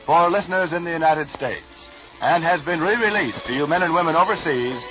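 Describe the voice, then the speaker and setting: A man's voice: a little high, fairly quick. A man making a formal 1940s-style radio broadcast announcement as the show's announcer. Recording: muffled old radio recording with music.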